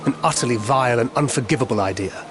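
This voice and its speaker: An actor playing a worldly, philosophical man in a video game, articulate, wry and quietly resolute. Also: mid-range voice, fast talker, natural voice. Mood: reflective, grave. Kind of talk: video game cutscene acting. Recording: music playing behind the voice.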